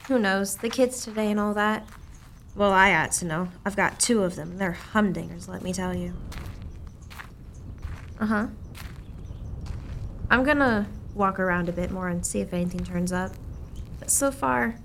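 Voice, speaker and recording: A woman's voice: medium pitch, moderate pace, vocal fry, pauses often, fairly bright tone, a little hiss or hum. A woman playing a chatty American local reporter in a radio play, folksy and conversational. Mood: skeptical, amused. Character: chatty local reporter, mother of two, skeptical but sympathetic.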